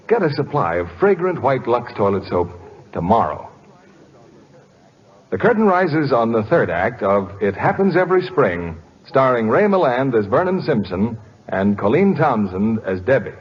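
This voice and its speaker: A man's voice: mid-range voice, big pitch swings, moderate pace. An American man hosting and announcing in scripted 1940s radio style. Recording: dull old radio broadcast, faint music.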